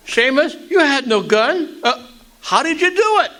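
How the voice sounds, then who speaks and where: Smug, theatrical radio villain, animated and bright. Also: male voice, very high voice, unhurried, heavily acted. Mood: stunned. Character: smug, theatrical criminal mastermind in a noir parody. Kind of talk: stage radio drama acting.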